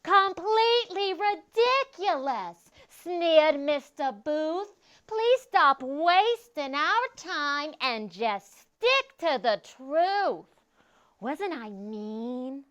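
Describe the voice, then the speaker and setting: A woman acting as an expressive schoolteacher talking to her young class in an exaggerated, theatrical way during a read-aloud skit. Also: high voice, extremely slow, pitched above the performer's own voice, exaggerated voice.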